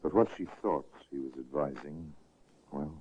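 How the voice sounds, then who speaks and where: A man narrates a 1950s radio mystery slowly and gravely, his voice extremely deep with a husky edge. It comes through a tinny, narrow restored broadcast. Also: dark tone. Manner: slow, grave and theatrical. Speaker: host and narrator.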